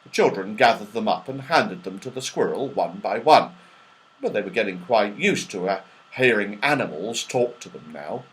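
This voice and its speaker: Animated male children's storyteller. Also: fairly low pitch, moderate pace, poor audio. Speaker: audiobook reader. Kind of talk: expressive children's storybook narration.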